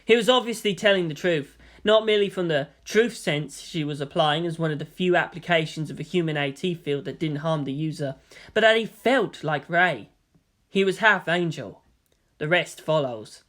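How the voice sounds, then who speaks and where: A woman's voice: low voice, normal speed. A woman narrating a scripted story as its reader.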